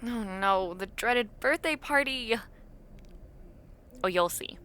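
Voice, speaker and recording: A woman's voice: fairly high pitch, animated, slow, bright, forceful tone, smooth, exaggerated voice. A woman playing an awkward, imaginative young university dormmate in a casual, intimate audio roleplay, chatting in a hesitant, self-deprecating way. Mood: playful, chatty.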